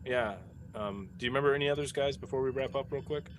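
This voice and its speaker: Male podcast regular, casual animated storyteller, medium pitch, slightly noisy recording. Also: quick pace. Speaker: podcast cast member answering fan questions. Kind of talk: casual group chat, telling anecdotes. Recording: clearly audible background noise.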